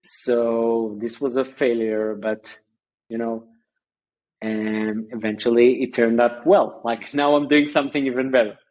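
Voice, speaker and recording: A man, a guest chatting on a video call in a halting way with many fillers and restarts. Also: medium pitch, steady tone, darkish tone, poor audio. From Israel. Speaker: guest founder. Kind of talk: casual interview chat.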